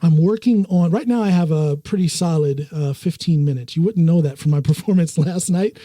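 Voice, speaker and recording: A man hosting a casual podcast, easygoing and quick to laugh, often restarting his sentences. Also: on the high side, mellow, dry recording, studio quality. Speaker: podcast host.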